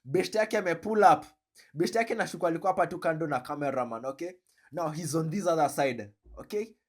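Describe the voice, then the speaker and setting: A man with a Kenyan accent hosting a reaction video, commenting in an animated, joking way and switching between slang and English. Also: fairly high pitch, brisk. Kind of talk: commentary on a played clip.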